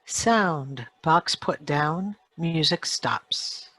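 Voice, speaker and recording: A woman's voice: sits low, lively intonation, extremely slow, a bit bright, breathy edge, no room sound. A woman reading out sound-effect cues from a script in short, clipped announcements. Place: home, live video call performance.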